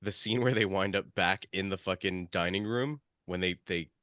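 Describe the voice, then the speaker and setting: Low, bright-toned man chatting and laughing. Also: normal speed, no echo, clean studio sound. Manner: laughs often, animated, swears freely. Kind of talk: casual chat.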